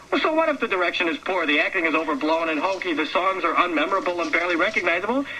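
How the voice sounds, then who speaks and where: Guy doing a quirky gushy movie critic, high excitable voice, rambles and exclaims, comedy radio bit, slight hiss. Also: heavily acted. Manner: effusive, rambling, self-interrupting with little exclamations. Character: gushing, excitable male film critic, quirky and defensive. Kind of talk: comic mock movie review. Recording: faintly noisy 1979 radio broadcast recording.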